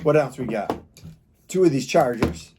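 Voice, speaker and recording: A man's voice: medium pitch, lively intonation, normal speed. A man giving a casual, unscripted product review in a plain-spoken, rambling, informal way. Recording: clear background noise with clicks.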